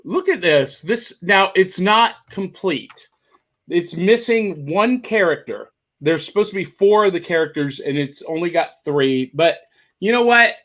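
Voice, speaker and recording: A man's voice: high voice, expressive, a little slow, fairly bright tone. A man co-hosting a casual chat over a video call, talking eagerly and rambling about things he found. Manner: enthusiastic, rambling, showing off finds. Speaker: co-host.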